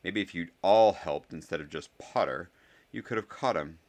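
A man's voice: low-pitched, moderate pace, darkish tone. Man playing Draco, a haughty drawling schoolboy. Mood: sneering. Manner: drawling, scornful.

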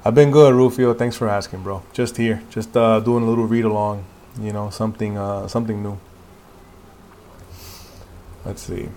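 A man's voice: on the low side, fairly even intonation, moderate pace, pauses often, warm tone, a little hiss or hum. A man chatting casually and enthusiastically in informal slang as he hosts a read-along of a comic. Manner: informal, slangy, enthusiastic, voices sound effects. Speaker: podcast host doing a live read-along. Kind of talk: casual chat and animated reading of a comic.

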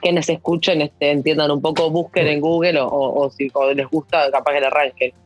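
A woman's voice: low-pitched, fairly even intonation, moderate pace, faint music behind. A woman in her thirties with a Rioplatense Spanish accent, chatting expressively and colloquially as a podcast guest. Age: 30s. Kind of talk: casual conversation.

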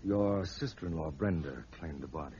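An actor playing a commanding, wry detective hero in a 1940s radio drama, speaking in clipped hard-boiled slang. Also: male voice, rumbling low, moderate pace, mellow, breathy edge, heavily acted. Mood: cool, probing. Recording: muffled old radio broadcast recording.

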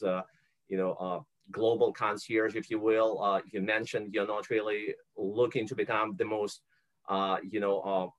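A man from Ukraine hosts an interview, winding through long questions with plenty of "uh" and "you know". His voice sits fairly low. He laughs easily between thoughts. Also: fairly bright tone, poor audio. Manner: long rambling questions with many fillers. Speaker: host. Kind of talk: interview podcast.

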